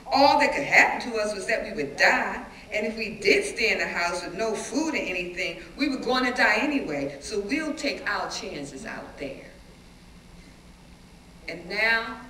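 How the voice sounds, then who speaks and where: A woman performing a dramatic stage reading as a defiant, plain-spoken schoolteacher recalling riots, with animated, emphatic storytelling and dramatic pauses. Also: mid-range voice, distant mic. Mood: loud, defiant, then plain-spoken reminiscing. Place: echoey indoor room, live reading before an audience. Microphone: distant microphone. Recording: roomy, noisy hall recording from afar.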